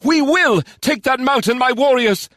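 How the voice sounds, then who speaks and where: A man's voice: extremely high pitch, measured pace, a bit bright, dry recording, clean studio sound, voice pushed up. A man voicing a woman bard warrior in an audiobook, wistful yet fervent, with an earnest, declamatory delivery. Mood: rousing, commanding. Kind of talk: audiobook character voice.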